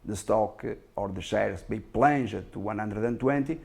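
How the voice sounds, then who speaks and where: A man presents market commentary as an analyst selling his own track record. His voice sits fairly low with a strong fry in it. English is not his first language, and he speaks haltingly, leaning hard on his points with plain self-assurance. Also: darkish tone. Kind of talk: self-promotional market commentary over slides.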